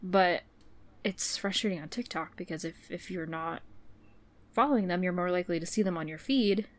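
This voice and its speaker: A woman's voice: on the low side, normal speed. Sniffly woman chatting on a podcast. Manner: chatty, rambling with many fillers. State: sniffly, runny nose. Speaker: podcast co-host. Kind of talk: casual chat.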